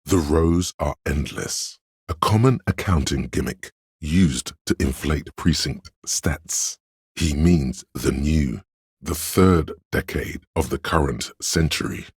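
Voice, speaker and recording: Game inner-voice role: encyclopedic know-it-all man, extremely low and very smooth, resonant, measured, faintly condescending. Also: no echo, studio quality, heavily acted. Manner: measured, scholarly, dryly condescending. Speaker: game narrator. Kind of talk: scripted game narration.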